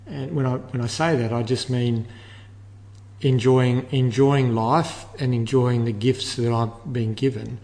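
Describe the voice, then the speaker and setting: An older man giving measured, explanatory answers as an interview guest, repeating words as he thinks. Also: mid-range voice, steady tone, darkish tone. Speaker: interview guest, air traffic control manager. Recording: long reverberant room echo.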